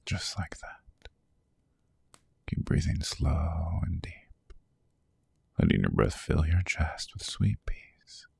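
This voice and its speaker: A man playing a seductive, soothing vampire lover in an ASMR sleep roleplay, speaking in a hushed, coaxing, breathy whisper. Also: a bass voice, dry recording, full character voice. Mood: soothing, intimate.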